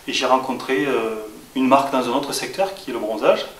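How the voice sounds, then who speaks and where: Male franchisee from southwest France, interview setting, mid-pitched voice, roomy sound with faint background hiss. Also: fairly quick, a bit bright, recorded from a distance. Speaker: franchise shop owner interviewee. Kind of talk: interview answers. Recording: echoey room, off-mic, faint hiss.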